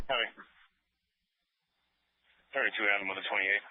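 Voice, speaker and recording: A man's voice: lowish voice, moderate pace, slight background noise. A man working as a police officer, calling in clipped letter and number codes over a radio transmission. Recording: dull lo-fi police scanner radio.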